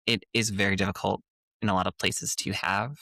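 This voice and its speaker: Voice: low-pitched, little pitch movement, fairly quick, sharp tone, no echo. Co-host with a Minnesotan accent, earnest and encouraging, dry close sound. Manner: earnest, encouraging, hesitant fillers. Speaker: podcast co-host. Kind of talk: casual podcast chat.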